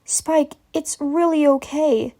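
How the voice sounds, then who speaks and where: A woman's voice: sits high, takes it slowly, mellow, pitched above the performer's own voice. A woman playing a caring young female unicorn in a story reading, earnest and soothing yet firmly insistent.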